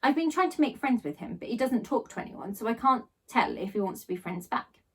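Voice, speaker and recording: Storybook child, read by a woman: earnest, innocent, curious, high lively voice. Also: fairly quick, breathy edge, distant mic. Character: earnest, curious young schoolchild who narrates the story.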